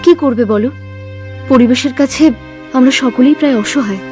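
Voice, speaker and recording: A woman's voice: sits high, moderate pace, faint music behind, natural voice. A woman as a caring village wife. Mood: consoling. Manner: warm, consoling.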